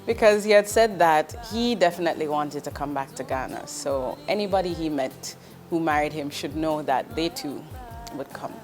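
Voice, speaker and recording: A woman's voice: sits low, a little mellow. A woman in her forties, from Jamaica, speaking as an investment executive in an interview, earnest and direct, with ums and restarts. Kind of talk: candid interview answers.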